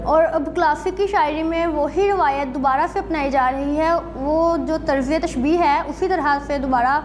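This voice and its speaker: High-pitched female student. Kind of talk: formal question to a lecturer. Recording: faint background noise.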